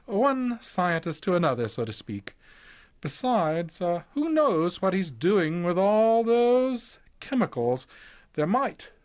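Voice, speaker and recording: An actor playing a courteous, prying village doctor with formal, genteel speech in an audio drama. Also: male voice, high-pitched, sing-song, slow pace, full character voice. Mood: breezy, persuasive. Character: genteel, inquisitive male village doctor, politely persistent.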